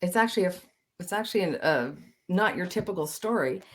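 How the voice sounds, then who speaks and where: A woman's voice: fairly low pitch, expressive, fairly quick. Chatty older Canadian-born woman. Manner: chatty, self-deprecating, laughs easily. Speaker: voice talent, guest. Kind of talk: casual interview chat. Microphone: video call.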